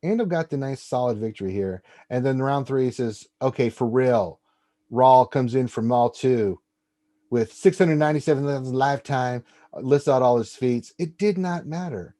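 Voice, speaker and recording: A man's voice: mid-range voice, moderate pace. A man co-hosting a casual gaming stats show, joking and teasing as he reads out results. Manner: jokey, teasing, animated delivery. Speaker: co-host.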